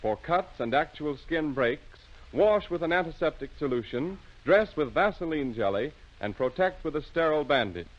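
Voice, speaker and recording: Highish-voiced vintage radio announcer man. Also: animated. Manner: formal, measured, emphatic. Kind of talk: 1930s-40s radio sponsor announcing. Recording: dull lo-fi old radio recording.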